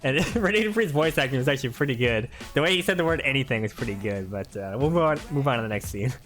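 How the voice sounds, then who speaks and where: A man's voice: medium pitch, animated, fast talker, a bit bright. A man hosting a gaming video with casual, chatty commentary. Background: faint music.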